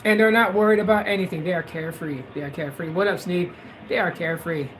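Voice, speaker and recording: A man hosting a livestream, rambling in a loose, repetitive way and drifting between louder and quieter stretches. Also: high-pitched, quick pace, a little hiss or hum, rough recording. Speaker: livestream host. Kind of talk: casual livestream rant.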